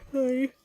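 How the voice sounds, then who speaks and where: A woman game streamer giving casual, playful reactions as she plays. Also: lo-fi sound. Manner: playful, expressive.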